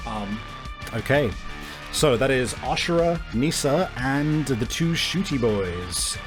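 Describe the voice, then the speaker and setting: A man with a medium-pitched voice runs a tabletop game as game master. He picks his phrases deliberately, pausing as he improvises, with soft music behind him. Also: lively intonation. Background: faint music.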